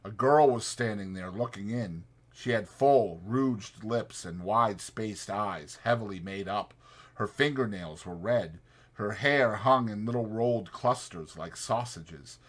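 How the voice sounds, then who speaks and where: Bright-voiced male audiobook narrator. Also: lowish voice, a little slow. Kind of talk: dramatic scripted fiction narration.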